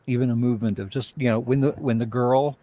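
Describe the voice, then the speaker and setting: A man chatting as an interview guest, speaking effusively in a halting, reflective way. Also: mid-range voice, steady tone, lo-fi sound. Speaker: writer and director, interview guest. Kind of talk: casual interview chat.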